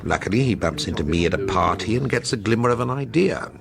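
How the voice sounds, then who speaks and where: An actor playing a diffident, wry painter in a radio drama, with a hesitant, tentative way of talking. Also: male voice, lowish voice, lively intonation, quick pace, vocal fry. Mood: tentative, probing. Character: diffident, wry male jobbing painter drawn into forgery. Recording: crowd chatter behind the voice.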